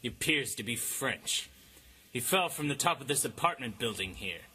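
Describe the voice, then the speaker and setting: A man playing a self-important, deadpan hard-boiled detective in 1950s radio drama style. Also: mid-range voice, normal speed, a little hiss or hum. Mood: smug, deadpan. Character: cocky, melodramatic male hard-boiled detective, absurdly sure of himself. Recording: music behind the voice.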